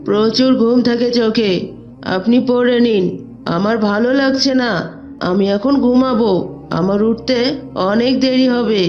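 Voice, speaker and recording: A woman's voice: fairly high pitch, steady tone, a little slow, long pauses, natural voice. A woman voicing a sleepy, reluctant young boy who protests in a whine in an Islamic cartoon, in a dull recording. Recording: dull lo-fi audio.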